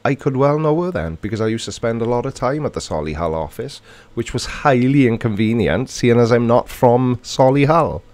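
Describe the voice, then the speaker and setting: A man's voice: medium pitch, lively intonation, normal speed, hardly any pauses, darkish tone. A middle-aged man from Wales with a Welsh accent, casually chatting and reading viewer comments aloud on a livestream. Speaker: livestream host.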